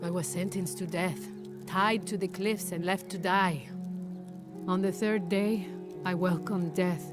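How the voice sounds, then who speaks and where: A woman's voice: fairly low pitch, steady tone, measured pace, natural voice. A woman playing a lovelorn outcast villager, tenderly reminiscing in video game dialogue. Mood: wistful. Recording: game music behind the voice. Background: music.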